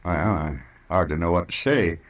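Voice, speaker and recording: An actor playing a cautious, reluctantly obliging banker in a radio western, with genteel, hedging speech. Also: male voice, low-pitched, lightly acted. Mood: uneasy, reluctant. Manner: genteel, hedging, deliberate phrasing. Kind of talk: old-time radio western acting.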